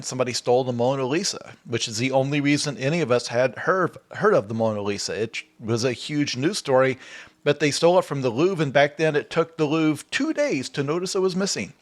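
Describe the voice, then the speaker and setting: Man, mid pitch, casual podcast storyteller; animated, acts out quotes. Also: fairly quick.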